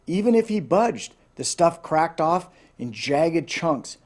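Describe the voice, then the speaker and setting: A man with a somewhat high-pitched voice reads a children's storybook aloud. He tells it expressively and drops into informal asides as a storyteller would. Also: very animated, takes it slowly, darkish tone. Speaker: storybook reader.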